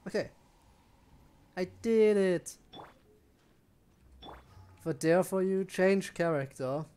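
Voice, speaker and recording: Higher-voiced man casually streaming a game. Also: takes it slowly, mellow, smooth. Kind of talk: casual live gameplay commentary.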